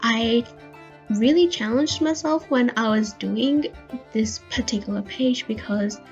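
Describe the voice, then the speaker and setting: A woman with a fairly high voice, a touch croaky from a recent illness, talks through her journaling in a soft, chatty way. The recording sounds a bit dull and muffled, with faint music playing under it. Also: a little slow. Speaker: bullet journal video creator. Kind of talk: voice-over narration of a crafting video. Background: faint background music.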